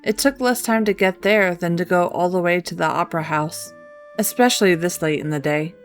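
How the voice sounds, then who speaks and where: A woman's voice: on the low side, brisk, faint music behind. A woman reading scripted narration as the storyteller of a fan-written game quest.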